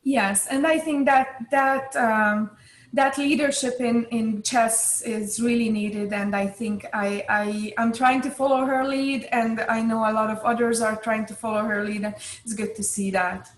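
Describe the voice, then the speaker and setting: A woman in her forties with a Hungarian accent, speaking in a fairly high voice. She talks thoughtfully, restarting sentences and pausing on fillers. The room around her sounds echoey. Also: steady tone, slight background noise. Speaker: guest, chess club leader. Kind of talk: conversational interview.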